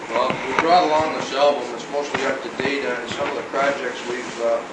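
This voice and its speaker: A man's voice: medium pitch, fairly even intonation, brisk. An American man, a fire chief, speaking informally at a meeting on an old, echoey tape recording. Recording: very noisy old tape, echoey, distant mic.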